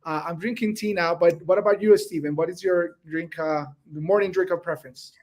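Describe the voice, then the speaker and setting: High-voiced chatty male host. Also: steady tone, fairly quick, darkish tone. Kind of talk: casual interview hosting.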